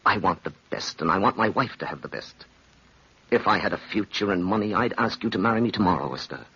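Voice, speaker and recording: A man's voice: sits low, lively intonation, quick pace, fairly bright tone, husky, full character voice. An actor playing a cold, ambitious, calculating man who talks persuasively and theatrically in an old radio drama. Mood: falsely earnest. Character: cold, calculating, smooth-talking ambitious male schemer. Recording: dull, lo-fi old radio recording.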